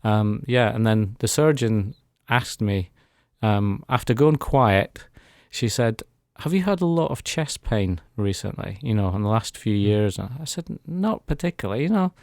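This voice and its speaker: A man's voice: fairly low pitch, expressive, a little slow, vocal fry, darkish tone, no room sound, clean studio sound. A man, telling his personal story as a guest in a radio interview. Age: 40s. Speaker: interview guest giving a testimony. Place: radio studio.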